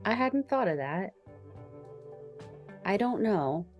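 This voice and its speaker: A woman's voice: mid-range voice, sing-song, takes it slowly, darkish tone, dull audio. A woman playing a tabletop roleplaying game, improvising casually in short, hesitant phrases. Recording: music playing behind the voice.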